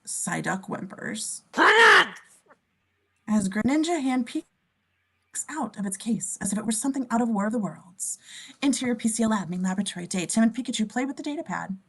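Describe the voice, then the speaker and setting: A woman's voice: fairly high pitch, lively intonation, a little fast, pauses often, a little mellow, slightly rough, poor audio. A woman narrating as scene description reader, reading screenplay stage directions aloud at a table read.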